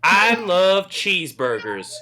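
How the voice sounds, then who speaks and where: Man playing an easygoing fat cowboy, bright voice. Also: high-pitched, sing-song, takes it slowly, exaggerated voice. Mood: dreamy. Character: fat, easygoing male cowboy who loves food.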